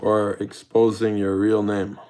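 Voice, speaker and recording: A man's voice: fairly low pitch, monotone, measured pace, heavy background noise. A young man live-streaming in public, chatting casually with his viewers and thanking them by name. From mixed Jamaican and British heritage. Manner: laid-back, thanks chatters by name, talks to chat. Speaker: IRL streamer.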